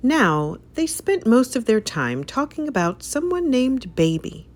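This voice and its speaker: A woman reading a children's story aloud as narrator, with an expressive, dramatic delivery. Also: a little high, measured pace.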